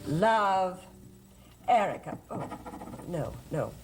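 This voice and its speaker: A woman's voice: lowish voice, expressive, very slow, low-quality recording. A woman playing a grand, mocking stage actress in a gothic soap opera, with affected, condescending drawled delivery. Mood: grandly weary. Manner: drawling endearments, arch and condescending. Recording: dramatic music behind the voice.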